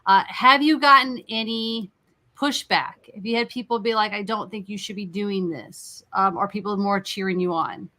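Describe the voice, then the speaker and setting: A woman's voice: medium pitch, moderate pace, pushed and bright. A woman hosting an interview in a casual, conversational style. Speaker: interview host.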